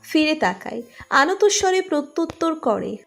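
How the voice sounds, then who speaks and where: A woman's voice: sits high, sing-song, a little slow, creaky, fairly bright tone. A woman reading a scripted romantic story as narrator.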